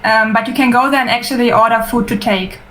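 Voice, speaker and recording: A woman from Germany on a video call, explaining things clearly. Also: a little high, fairly even intonation, fast talker, a bit bright, slight background noise. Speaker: interviewee.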